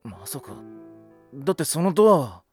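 Animated high school boy, casual, blunt, kind-hearted, wide intonation, anime audio drama. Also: normal speed, slightly rough, faint music behind. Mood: determined, curious. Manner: blunt, informal boyish speech.

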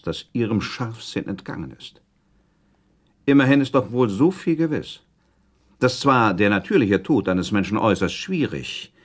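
Coolly ironic accused prince, period radio drama: husky, lively range, deliberate formal delivery. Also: male voice, on the low side, heavily acted. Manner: formal, deliberate, sardonic, questioning. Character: proud male prince accused of murder, guarded, coolly ironic. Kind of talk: German radio play acting, period dialogue.

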